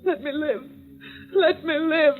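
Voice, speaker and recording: A woman's voice: high-pitched, soft background music. Scheming stepmother on dull old radio. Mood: desperate pleading. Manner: melodramatic, breathless, halting. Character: scheming, pleading murderous stepmother. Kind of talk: 1940s radio thriller acting. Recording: dull vintage 1940s radio broadcast.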